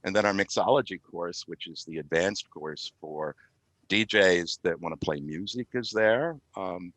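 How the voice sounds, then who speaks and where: A man with a very deep voice answers interview questions as a podcast guest. He explains things conversationally over a video call, and the sound is dry, with little room in it. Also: unhurried, fairly bright tone, dry recording. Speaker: podcast guest, community radio IT volunteer. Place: remote call with virtual background.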